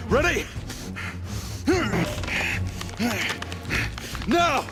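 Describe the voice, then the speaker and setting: Defiant intense knight for a period game, voice swings around a lot, music behind. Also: male voice, very high voice, very animated, a little slow, bright, forceful tone, exaggerated voice. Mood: urgent command. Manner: formal, intense, resolute. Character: defiant, grieving male knight and battle leader. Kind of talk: dramatic period game acting.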